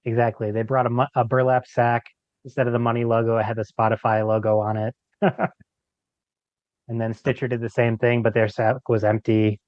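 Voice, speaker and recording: A man with a mid-pitched voice chats casually as a podcast guest. His talk is loose and informal, and the recording is dry and a little dull. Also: flat delivery, moderate pace, no echo. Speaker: podcast guest, creator of an audio drama. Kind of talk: casual chat.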